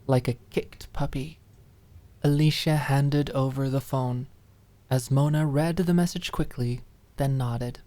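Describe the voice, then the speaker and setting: A woman's voice: a bass voice, steady tone, measured pace, mellow, no room sound. A woman reading scripted dramatic narration as an audiobook narrator.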